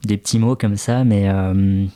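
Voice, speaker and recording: A French man with a fairly low voice talks through his story in a relaxed interview. He pauses often, filling gaps with hesitant sounds as he thinks out loud. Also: smooth. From France. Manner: hesitant, many "euh" fillers, thoughtful. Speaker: interview guest, restaurant co-founder. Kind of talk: casual interview talk.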